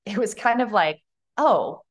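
A woman actor and artistic director giving a thoughtful, measured answer in a podcast interview. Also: mid-range voice, expressive.

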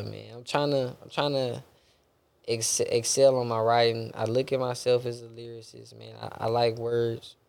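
A man's voice: medium pitch, creaky, no echo. A young adult man, a gospel rapper talking loosely in a casual interview, rambling and dropping bro and man often.

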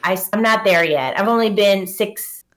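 A woman's voice: mid-range voice, normal speed, a bit bright. A young adult woman from Hawaii, chatting casually as a podcast guest and telling stories with animation. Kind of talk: casual chat.

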